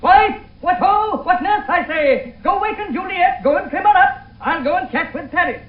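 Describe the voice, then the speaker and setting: Very high-pitched, bustling old lord. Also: male voice, expressive. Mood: bustling, impatient. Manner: commanding, hurried, theatrical. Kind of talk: 1970s radio Shakespeare acting. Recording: echoey room, dull old radio recording.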